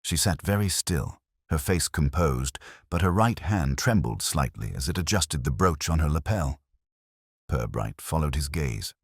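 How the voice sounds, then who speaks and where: A man narrating a mystery story in a measured, storytelling manner. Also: rumbling low, animated, breathy edge, no room sound, studio quality.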